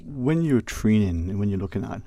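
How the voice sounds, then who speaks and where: A man's voice: lowish voice, lively intonation, a little fast, no echo. A man hosting a radio talk show, chatting casually in a rambling way with guests in the studio. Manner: rambling, restarts phrases, warm banter. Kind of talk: casual interview chat.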